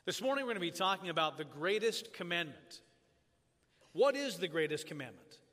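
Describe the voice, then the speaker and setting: A man from Tanzania preaches with a bright, forceful voice that sits a little high for a man. His delivery is earnest and measured, in the steady cadence of a sermon. The sound carries a long church reverb with faint music beneath it. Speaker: pastor. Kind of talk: sermon with opening prayer. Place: church sanctuary.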